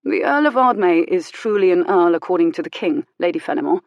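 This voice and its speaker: A woman voicing a gentle, careful young clergyman with a measured, lightly courteous manner. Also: fairly low pitch, expressive, no room sound, dull audio.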